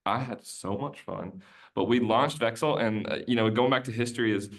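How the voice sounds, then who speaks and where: A man's voice: mid-range voice, moderate pace. A man, a podcast guest, chatting casually and telling a long rambling story, often looping back and restarting his sentences. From United States. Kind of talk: casual conversational interview.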